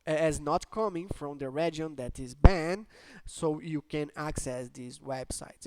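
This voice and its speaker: Brazilian-accented man, tech host, smooth clear voice, dry close sound, halting with fillers. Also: fairly high pitch, darkish tone, no echo. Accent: Brazilian Portuguese. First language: Portuguese. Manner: hesitant, many fillers and restarts. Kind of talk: casual explainer talk.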